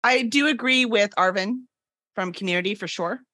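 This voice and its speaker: A woman's voice: mid-range voice, normal speed, bright, forceful tone, no echo, clean studio sound. A woman chatting casually as a guest on a podcast. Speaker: podcast guest, book publisher. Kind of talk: casual chat.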